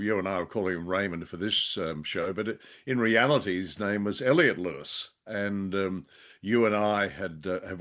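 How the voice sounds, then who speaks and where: A man's voice: lowish voice, a bit bright. An Australian man co-hosting a conversational review show, talking in a wordy, wry way. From Perth, Western Australia. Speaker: podcast co-host. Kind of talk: conversational radio drama review.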